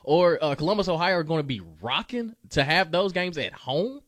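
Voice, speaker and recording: Lively male sports radio co-host. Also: a little high, normal speed, no echo. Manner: animated, agreeable banter. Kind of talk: sports talk show.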